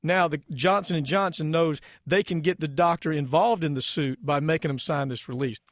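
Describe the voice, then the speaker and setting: American guy hosting talk radio, kinda high voice, indignant and emphatic, really dry close sound. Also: normal speed, no echo. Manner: emphatic, indignant, rhetorical questions. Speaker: radio show host. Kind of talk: radio talk show discussion.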